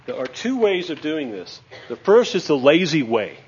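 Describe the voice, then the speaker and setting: A man's voice: on the high side, big pitch swings, normal speed, a little mellow, muffled recording. A man teaching a class at a whiteboard, chatty and joking, checking in with his students with a frequent "okay?".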